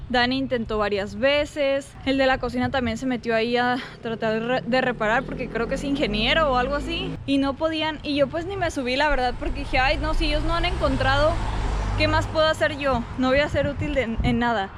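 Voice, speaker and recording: A woman's voice: sits high, a little fast, noisy background. A young woman with a Mexican accent, telling stories from her week in a chatty, colloquial vlog style. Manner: chatty, colloquial, acts out reported dialogue. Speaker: vlogger. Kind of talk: casual vlog storytelling.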